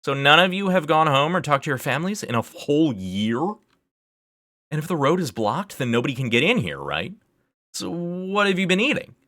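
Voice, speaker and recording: A man's voice: deep voice, moderate pace, pauses often, fairly bright tone, no echo, clean studio sound. A man voicing an anxious, exasperated schoolgirl with an incredulous, insistent, questioning manner. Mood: agitated, incredulous.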